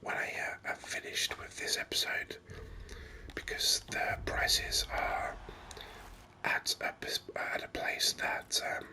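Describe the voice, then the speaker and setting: A man whispering ASMR game commentary in a breathy, unhurried way, thinking aloud close to the microphone. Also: sharp tone, rough recording. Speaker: gaming video host.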